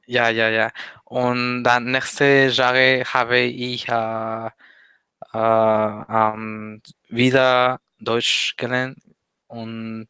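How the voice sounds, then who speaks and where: Teen guy, slow hesitant talk, bright super clear voice. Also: mid-range voice, little pitch movement, dry recording. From Mexico. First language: Spanish. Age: teens. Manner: hesitant, many pauses and fillers. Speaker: interview guest, language learner. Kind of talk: casual interview chat.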